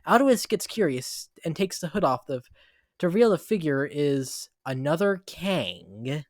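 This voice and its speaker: A man's voice: a little high, very animated, measured pace, no echo, clean studio sound. A man reading scripted story narration as the narrator. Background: background music.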